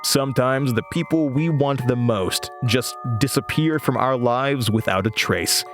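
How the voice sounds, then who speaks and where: A man's voice: medium pitch, a little slow, over music, lightly acted. A man playing an anxious, haunted young host of a horror radio show in an audio drama. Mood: solemn.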